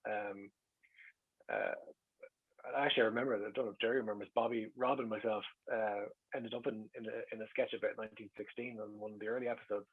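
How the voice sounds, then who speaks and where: A man's voice: on the low side, steady tone, fast talker, slightly rough. A middle-aged Irish man telling casual, rambling anecdotes as an interview guest, wry and self-deprecating, in a slightly lo-fi recording. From Ireland.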